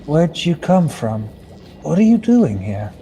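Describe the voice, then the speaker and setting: A man's voice: on the high side, big pitch swings, measured pace, mellow. A young man playing a drowsy, good-natured adventurer with a folksy, apologetic manner in an old-time radio serial. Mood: groggy. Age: young adult. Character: groggy, sheepish, good-natured male adventurer just woken. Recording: faint background noise.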